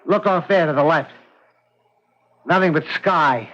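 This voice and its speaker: An actor playing a protective, increasingly alarmed husband in an old-time radio drama, urgent and pleading. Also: male voice, a little high, unhurried, over loud music, natural voice. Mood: steady, concerned. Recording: very noisy, dull old radio recording.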